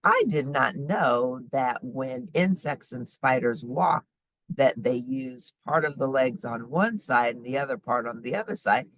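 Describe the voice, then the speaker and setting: A woman reading a children's nonfiction book aloud in a deliberate, explanatory way, emphasizing key words. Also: rumbling low, no room sound. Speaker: host reading children's science books aloud.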